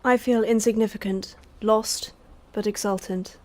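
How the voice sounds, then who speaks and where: A woman's voice: mid-range voice, fairly even intonation, a little slow, darkish tone, lightly acted. A woman acting a lyrical, impressionable voice with poetic delivery in a literary drama. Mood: exultant, anxious.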